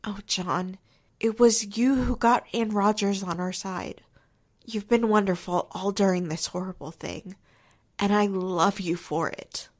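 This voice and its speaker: An actress as an earnest, devoted woman in an old-time radio drama. She speaks very deliberately and slowly, with a hopeful manner. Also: medium pitch, fairly even intonation, close to an everyday voice. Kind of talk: 1940s radio drama acting.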